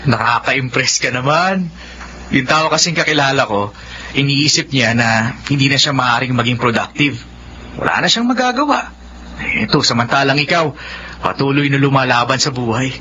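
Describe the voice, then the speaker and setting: A man's voice: mid-range voice, expressive, normal speed, lightly acted. A man acting an earnest, polite young son in a drama, with a Filipino accent. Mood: admiring. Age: young adult. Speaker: actor in a Tagalog drama. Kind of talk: melodramatic drama dialogue. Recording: muffled, faintly noisy recording.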